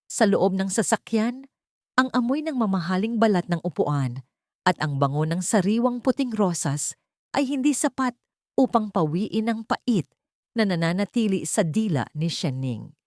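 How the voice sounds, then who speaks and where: A woman's voice: medium pitch, lively intonation, moderate pace, darkish tone, no echo, clean studio sound. A woman reading dramatic scripted narration for an audio story.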